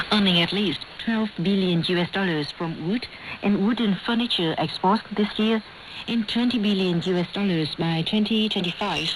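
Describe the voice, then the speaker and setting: A woman reading formal scripted news as a radio newsreader, heard over shortwave radio. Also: medium pitch. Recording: noisy, hissy shortwave radio broadcast.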